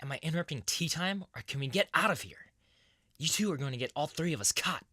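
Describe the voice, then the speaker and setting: A man playing an impatient, sardonic young fighter with a rough, husky voice in an animated adventure. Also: fairly high pitch, fast, no echo, studio quality. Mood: sarcastic.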